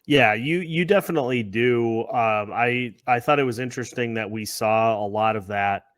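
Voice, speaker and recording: A man's voice: mid-range voice, normal speed, a bit bright, dry recording, studio quality. A man hosting a sports podcast in a conversational way, repeating phrases as he builds his points. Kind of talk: conversational sports discussion with scripted-sounding intro.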